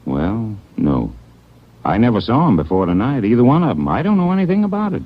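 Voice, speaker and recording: A man's voice: mid-range voice, very animated. An actor playing a nervous, defensive hotel clerk who keeps pleading his innocence, in a 1940s radio drama. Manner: jittery, pleading, quick to protest innocence. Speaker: hotel manager. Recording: dull 1940s radio broadcast.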